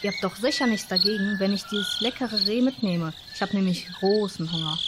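A woman's voice: mid-range voice, brisk, natural voice. Sly hungry fox played by a woman, noisy recording. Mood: polite, sly. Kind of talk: amateur radio play acting.

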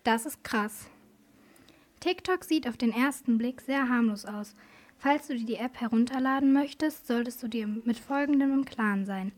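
A woman's voice: a little high, moderate pace. A woman presenting on a children's radio programme, reading a scripted explainer. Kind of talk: scripted explainer with posed questions.

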